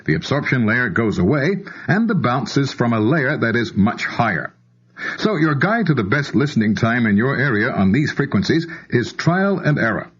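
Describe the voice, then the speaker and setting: A man's voice: mid-range voice, slightly rough. An American man reads scripted instructional narration, explaining things step by step and speaking directly to the listener. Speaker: narrator of an instructional audio tape. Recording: dull lo-fi old cassette tape.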